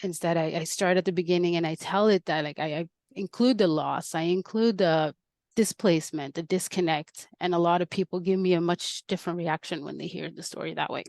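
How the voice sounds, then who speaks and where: A woman's voice: lowish voice, a little fast, creaky, darkish tone, no room sound. A woman in her forties, born in Colombia, telling her own story earnestly as a podcast guest. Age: 40s. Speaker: guest, adoptee. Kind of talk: reflective interview talk.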